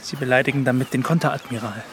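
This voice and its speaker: An actor in a German radio drama playing an eager, deferential aide to a leader. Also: male voice, mid-range voice, steady tone, fast talker, slight background noise, lightly acted. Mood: concerned.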